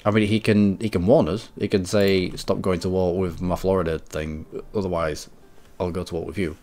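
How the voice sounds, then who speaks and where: A man with a head cold streaming a strategy game, rambling and correcting himself, often clearing his throat. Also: low-pitched, level tone, moderate pace, darkish tone. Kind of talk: casual game commentary.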